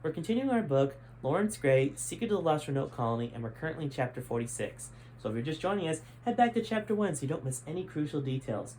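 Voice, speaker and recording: A man's voice: a little high, very animated, fast, hardly any pauses, darkish tone. Man reading a story over background noise. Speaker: host reading a chapter of their own book. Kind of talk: audiobook-style story narration. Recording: clearly noisy background ambience.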